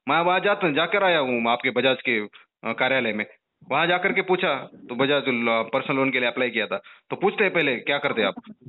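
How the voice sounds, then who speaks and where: A man making an insistent, indignant complaint as a journalist over the phone, with repeated pointed questions. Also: fairly high pitch. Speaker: journalist caller complaining to a call centre. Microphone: telephone. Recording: muffled narrow-band phone call recording.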